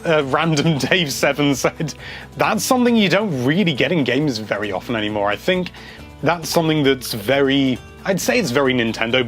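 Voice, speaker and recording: Give me a chatty, enthusiastic man answering viewer comments, average pitch, with faint music under him. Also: fairly bright tone. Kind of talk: casual chat reading comments aloud.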